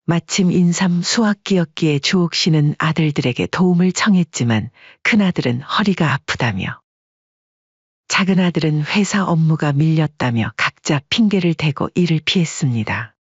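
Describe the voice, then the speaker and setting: A woman narrating a scripted audio story in a calm, measured way. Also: low voice, breathy edge, no room sound, dull audio.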